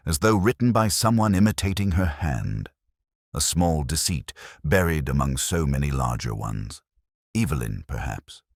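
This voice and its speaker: A man reading a scripted first-person detective story in a measured, brooding, reflective way. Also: very deep voice, no echo, studio quality. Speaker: narrator.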